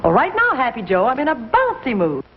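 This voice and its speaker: A woman's voice: fairly high pitch, wildly swooping pitch, a little fast, noisy background. A woman performing a 1950s advertising jingle, partly sung and partly spoken. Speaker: commercial singer. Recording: muffled vintage broadcast, loud band music.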